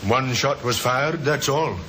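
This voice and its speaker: A man's voice: mid-range voice, a little slow, a bit bright, a little hiss or hum, close to an everyday voice, poor audio. An actor playing a polite, dutiful male police sergeant in an old radio drama, formal and courteous. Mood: courteous, official. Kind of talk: 1950s radio drama acting.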